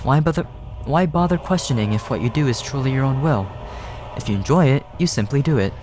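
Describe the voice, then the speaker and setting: A man voicing a lofty, coolly amused creator-god creature in a video game, with measured, pompous delivery. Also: medium pitch, lively intonation, lightly acted. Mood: solemn, dismissive. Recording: game music behind the voice.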